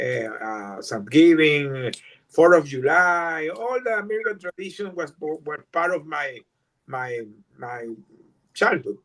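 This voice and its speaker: An older Venezuelan man who grew up speaking Spanish, answering interview questions in English in a halting way, with many repeated words and restarts. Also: a little high, a bit bright, low-quality recording. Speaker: guest.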